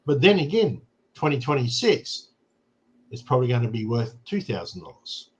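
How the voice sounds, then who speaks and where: A man's voice: mid-range voice, very animated, moderate pace. Australian man, host, casual explainer, dull lo-fi recording. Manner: explains plainly, with frequent "right?" checks. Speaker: show host. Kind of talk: casual chat.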